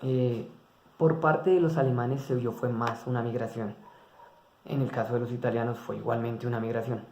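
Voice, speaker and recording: Monotone man giving a class presentation. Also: medium pitch, moderate pace, slight background noise. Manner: monotone, with hesitant fillers and restarts. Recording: echoey room.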